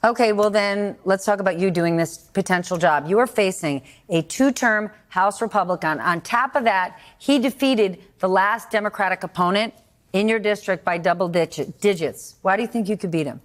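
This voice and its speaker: A woman's voice: mid-range voice, normal speed. Direct woman news host, mid-range voice. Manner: direct, pointed questioning. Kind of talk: news anchoring and interviewing.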